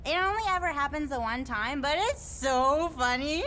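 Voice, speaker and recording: High, whimsical alien mystic. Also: female voice, expressive, normal speed, pushed and bright, a little hiss or hum. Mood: teasing. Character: quirky, playful female alien mystic, airy and oddball. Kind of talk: video game cutscene acting.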